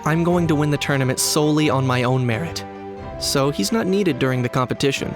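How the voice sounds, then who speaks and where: A man's voice: fairly high pitch, brisk, over music, natural voice. A man voicing an earnest, proud young warrior in a video game, polite and resolute. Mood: composed, determined. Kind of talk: video game dialogue acting.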